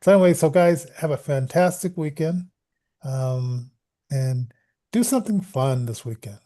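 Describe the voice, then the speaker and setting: A man's voice: a little high, animated, unhurried, mellow. A man hosting a casual, unscripted show, talking in an informal, rambling way. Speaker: podcast host.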